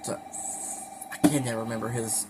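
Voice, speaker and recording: A man's voice: medium pitch, level tone, normal speed. A man giving a casual, folksy show-and-tell narration with muttered asides. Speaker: hobbyist showing a collection. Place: indoors at home. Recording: noisy home recording with radio chatter. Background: weather radios playing, background noise.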